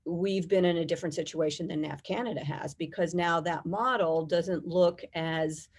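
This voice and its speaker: A middle-aged American woman speaks in a fairly low voice with a gravelly creak. She answers as an interview guest, explaining things in a measured, conversational and detailed way. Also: steady tone. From United States. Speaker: guest, air traffic controllers' union executive.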